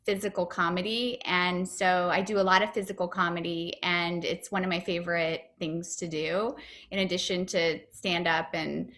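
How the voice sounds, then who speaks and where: Bright-voiced woman chatting on a video call. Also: fairly low pitch, little pitch movement, normal speed. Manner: chatty, upbeat, with many fillers and restarts. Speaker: comedian and actor, interview guest. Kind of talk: casual interview answers over video call.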